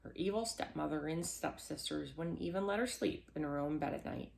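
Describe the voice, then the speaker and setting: Female storyteller, lowish creaky voice, children's fairy tale read-aloud with small slips. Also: a little fast, darkish tone, a little hiss or hum. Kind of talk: scripted children's storybook narration.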